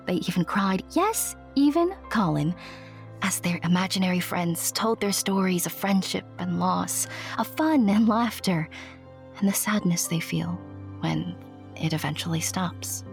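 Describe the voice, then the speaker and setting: A woman storyteller with a fairly low voice over soft music. Her scripted narration is tender and hushed, confiding in the listener with dramatic care. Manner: hushed, breathy, tender, addresses listener directly.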